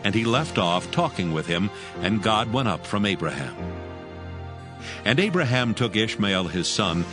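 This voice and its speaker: A man narrating scripture from a script in a measured, formal manner. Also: on the low side, sing-song, music in the background.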